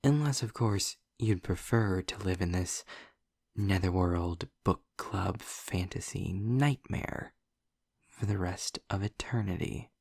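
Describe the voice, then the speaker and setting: A man playing a snarky, sardonic demon in an audio roleplay, speaking deliberately in a gravelly, husky voice close to the mic. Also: darkish tone, no room sound, exaggerated voice. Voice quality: gravelly, husky low voice with breathy edges. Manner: sarcastic, deliberate, drawn-out phrasing. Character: snarky, sardonic male demon trapped in hell. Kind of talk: scripted audio roleplay addressed to the listener.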